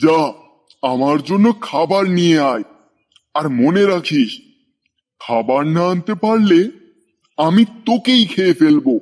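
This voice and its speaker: High-pitched bullying cartoon tiger voiced by a man. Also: expressive, measured pace, pauses often. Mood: bossy. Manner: domineering, threatening, gloating. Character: strong, cruel, bossy, greedy male tiger. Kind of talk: scripted cartoon dialogue.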